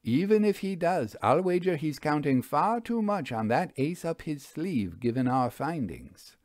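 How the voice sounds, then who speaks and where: A self-assured stage magician acted by a male narrator speaks with formal flourish and defiance. His voice is lifted in pitch and unruffled, in a dry audiobook recording. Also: very animated, unhurried, darkish tone, no room sound. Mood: confident. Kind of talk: audiobook character dialogue.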